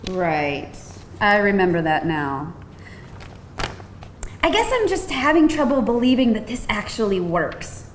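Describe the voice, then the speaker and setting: A woman's voice: medium pitch, big pitch swings, unhurried, long pauses. A woman playing a skeptical, wry young actress in a live screenplay table read. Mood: skeptical, sardonic. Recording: roomy live venue, faint noise.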